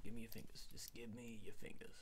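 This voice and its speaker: A man's voice: little pitch movement, natural voice. A man playing a folksy, pushy ring salesman in an ASMR roleplay, whispering and murmuring, rambling and repeating himself as he fumbles for words. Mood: coaxing, insistent. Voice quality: breathy whisper with creaky low murmur. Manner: repetitive, halting, fumbling for words.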